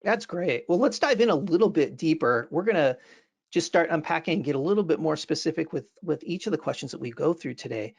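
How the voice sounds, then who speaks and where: A man's voice: on the high side, lively intonation, fairly quick, creaky. A middle-aged man hosting an education webinar over a video call from a home office, upbeat and earnest with some fillers. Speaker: webinar host. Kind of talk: webinar interview. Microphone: earbuds on video call.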